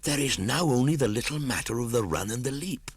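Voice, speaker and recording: A man's voice: medium pitch, lively intonation, brisk, breathy edge, voice pushed up. A man voicing a bold, eager young jungle boy for a storybook, declaiming confidently in archaic phrases. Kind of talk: audiobook storybook character acting.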